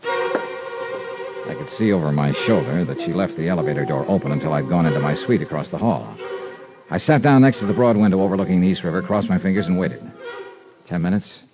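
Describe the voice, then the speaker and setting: An actor playing a wry, smitten hard-boiled investigator in 1950s radio drama, often hesitant. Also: male voice, low-pitched, huge pitch swings, brisk. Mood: wry, narrating. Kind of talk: 1950s radio drama acting with first-person narration. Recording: dull old radio recording, music behind.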